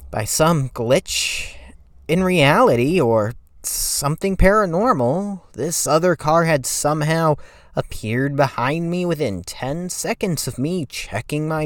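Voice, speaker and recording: A man playing a timid, haunted narrator in a dramatic horror story reading, with a shaky, halting, stammering delivery. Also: a little high, no room sound, studio quality. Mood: shaken. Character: timid, shaky, haunted narrator recalling a frightening night drive. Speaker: creepypasta reader.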